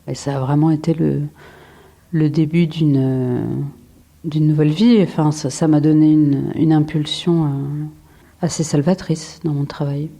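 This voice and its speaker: A woman in her forties, from France, a photographer answering interview questions in a reflective way, with frequent pauses, fillers and restarts. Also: sits low, steady tone, mellow. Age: 40s. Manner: hesitant, many fillers and restarts.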